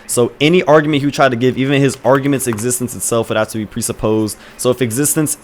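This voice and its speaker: A man's voice: medium pitch, fairly even intonation, fast talker, a little hiss or hum. Crude, combative debating man. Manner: argumentative, crude trash-talking. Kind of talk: heated voice-chat debate.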